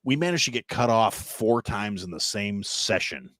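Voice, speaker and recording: A man's voice: lively intonation, moderate pace, a bit bright, no echo, clean studio sound. A man in his thirties from Wisconsin, a podcast guest telling long rambling stories and acting out other people's voices. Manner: rambling storyteller, acts out voices with big pitch jumps. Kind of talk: casual chat.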